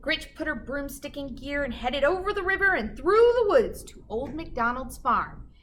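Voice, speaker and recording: A woman's voice: high-pitched, sing-song, normal speed, fairly bright tone, a little hiss or hum. A woman reading a children's storybook aloud like a teacher, with dramatic emphasis and chatty asides to her listeners.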